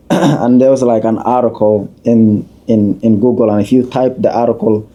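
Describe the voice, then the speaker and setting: A man, a community leader answering questions as a podcast guest in an unhurried, halting way. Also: medium pitch, fairly even intonation, dark tone, muffled recording. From Somalia, raised in Kenya. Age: 30s. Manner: unhurried, halting, with many uh fillers and restarts.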